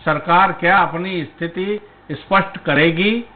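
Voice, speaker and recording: A man giving political commentary as a debate panelist, speaking in measured, broken phrases and posing rhetorical questions. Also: sits high. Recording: tinny phone-like line.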